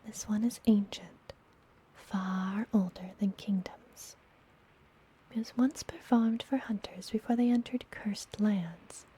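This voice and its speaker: A woman playing a friendly, mysterious witch healer in a whispered ASMR fantasy roleplay, soothing and gently teasing. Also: medium pitch, fairly even intonation, breathy edge. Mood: solemn, reassuring. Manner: soothing, unhurried, softly whispered.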